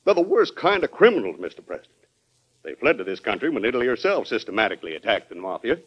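An actor playing a defensive, deferential state governor in a classic radio drama, shifting between hesitant deference and emphatic self-justification. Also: male voice, medium pitch, sing-song, fast. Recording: dull old radio broadcast recording.

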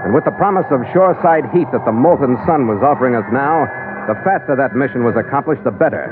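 Over thin, tinny vintage radio sound with loud music, a seasoned American sea captain played by a male actor tells his tale. His delivery is clipped, hard-boiled and matter-of-fact, at a middling pitch, in 1940s adventure serial style. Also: natural voice. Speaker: lead actor and narrator. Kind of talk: 1940s radio adventure acting, scripted narration.

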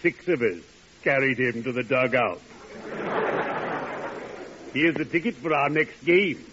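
Man playing slow, mournfully genteel radio undertaker. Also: mid-range voice, lightly acted. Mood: genteel, morbid pride. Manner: formal, drawn-out, grandly mournful delivery. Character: friendly, morbidly genteel undertaker, solemn and theatrical. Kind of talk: 1940s radio comedy acting. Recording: noisy, dull old radio recording.

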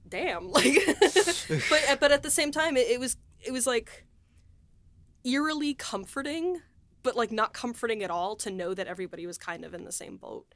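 A woman's voice: fairly high pitch, animated, moderate pace, a bit bright. A young woman in a casual, reflective group chat, effusive and often hesitating around the word "like". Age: young adult. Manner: effusive, hesitant phrasing with frequent "like". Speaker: podcast co-host, writer and producer.

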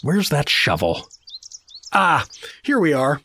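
A man's voice: on the high side, wildly swooping pitch, slow pace, sharp tone, husky, heavily acted. A man playing a bewildered, awkward middle-aged average dad in a comedic audio drama, hesitant and rambling. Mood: awkward, puzzled. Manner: hesitant, rambling, easily flustered.

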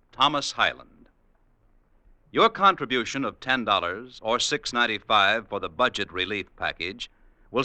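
A male announcer on vintage radio with a very bright, punchy voice and animated pitch. His delivery is formal and emphatic, recorded dry. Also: clear, resonant tone, dry recording.

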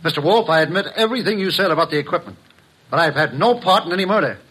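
High-voiced edgy crook. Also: male voice, expressive, fast talker, a bit bright, breathy edge. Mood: defensive. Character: shifty, defensive male crook. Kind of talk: old-time radio crime drama acting. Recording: slightly noisy old radio broadcast.

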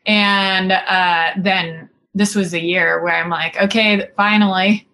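A woman in her thirties who grew up in Singapore talks through her filmmaking as an interview guest. Her voice sits at an ordinary middle pitch, and she strings thoughts together loosely with plenty of "like" and "you know." She laughs easily. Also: fairly even intonation, slow pace, pushed and bright. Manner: chatty, full of "like" and "you know" fillers, laughs often. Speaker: film director and cinematographer guest. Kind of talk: casual interview chat.

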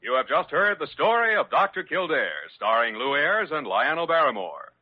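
A male American announcer from classic radio. His fairly high voice swings expressively through formal scripted lines, with music behind him on a muffled old recording. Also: sing-song, moderate pace.

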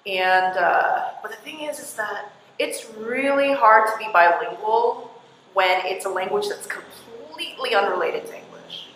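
A woman's voice: mid-range voice, lively intonation, a little slow, a bit bright, a little hiss or hum. A woman interviewing a guest in a casual chat, filling her talk with likes and you knows. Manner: chatty, filler-heavy with like and you know. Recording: echoey hall with faint ambience.

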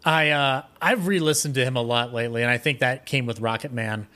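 A man's voice: mid-range voice, brisk, sharp tone. A man chatting casually as a podcast guest, rambling enthusiastically and voicing his own thoughts aloud. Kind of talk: casual chat.

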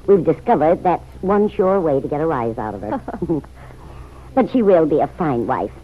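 An actress playing a kindly, unruffled middle-aged mother in an old-time radio drama, with a gentle motherly manner. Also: low-pitched, very animated, unhurried, breathy edge, heavily acted. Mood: fond. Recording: muffled vintage radio recording.

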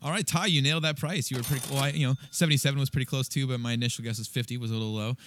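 A man's voice: medium pitch, steady tone, very fast, fairly bright tone, a little hiss or hum. A man in his thirties hosting a casual sports podcast, bantering easily and laughing often. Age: 30s. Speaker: podcast host.

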